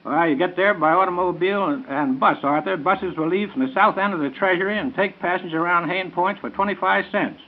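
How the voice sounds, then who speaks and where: A man's voice: sits high, fairly quick, hardly any pauses. An American man making scripted announcements in the style of 1930s radio. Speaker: event announcer on a radio show. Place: radio studio. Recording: tinny, narrow 1930s radio broadcast.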